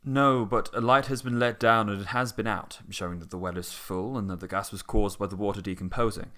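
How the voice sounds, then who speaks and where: A man's voice: lowish voice, fairly even intonation, a little fast, pushed and bright. A man playing a distillery witness, formal and matter-of-fact, giving testimony in an audio drama. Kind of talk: scripted audio drama acting.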